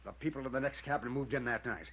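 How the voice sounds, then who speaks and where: A man's voice: mid-range voice, fast, natural voice. A man playing a cornered, cold-blooded murderer, bitter and defiant, with a menacing delivery in a 1950s radio crime drama. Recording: muffled noisy vintage radio recording.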